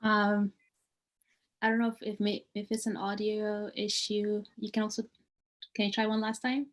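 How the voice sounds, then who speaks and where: A woman's voice: medium pitch, level tone, normal speed. Female moderator on a video call, mid-range voice, friendly, hesitant delivery. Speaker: event moderator. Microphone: computer microphone on a video call.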